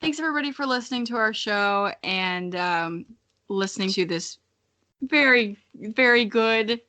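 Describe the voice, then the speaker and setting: A woman's voice: fairly high pitch, lively intonation, measured pace, bright, forceful tone. A young woman co-hosting a podcast in casual chat, giggly and self-deprecating, swearing freely. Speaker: podcast co-host.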